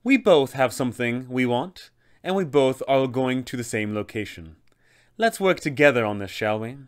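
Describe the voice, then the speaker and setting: A man's voice: medium pitch, lively intonation, clean tone, close to an everyday voice. Man voicing an imperious, taunting undead elf sorceress. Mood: calculating, commanding. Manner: slow, taunting, imperious delivery. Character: menacing, haughty female undead elf sorceress villain.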